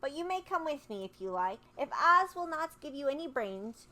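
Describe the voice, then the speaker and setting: A polite young girl on a journey, read by a woman narrator. She speaks brightly and eagerly, a touch higher than the narration. She stays courteous throughout. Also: big pitch swings, moderate pace, heavily acted. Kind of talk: storybook read-aloud.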